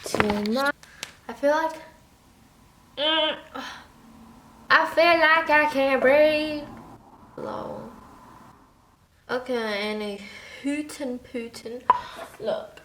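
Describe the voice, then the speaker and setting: A woman's voice: high voice, extremely slow, long pauses, echoey room, noisy background. An eighteen-year-old woman rambling candidly in an unscripted vlog from her bedroom, swearing freely and trailing off. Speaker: vlogger.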